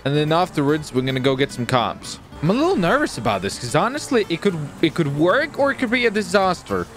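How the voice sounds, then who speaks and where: A man giving casual, excited commentary on his gameplay, chatty and often repeating his phrases. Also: a little high, brisk, soft background music. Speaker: gaming video host and player.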